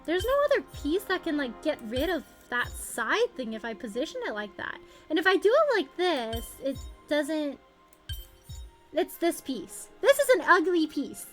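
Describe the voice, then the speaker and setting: A woman livestreaming a video game in casual chat, expressive and exclamatory as she thinks aloud. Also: high voice, measured pace, faint music behind. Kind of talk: casual gaming stream chat.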